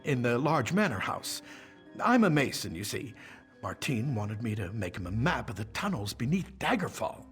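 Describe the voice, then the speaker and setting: An actor playing a frightened, cowardly mason in a fantasy game, pleading anxiously and defensively. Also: male voice, a little high. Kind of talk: video game voice acting.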